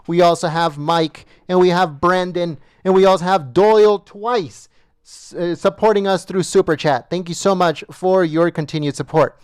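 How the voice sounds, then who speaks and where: A man with a high, smooth voice hosts a livestream, reading off viewer names one after another and thanking each the same way. His voice is wearing thin, and he trips now and then over names. The sound is dry and close to the mic. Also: fairly even intonation, moderate pace, no room sound. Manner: repetitive, reads names with small stumbles. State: losing his voice. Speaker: livestream host.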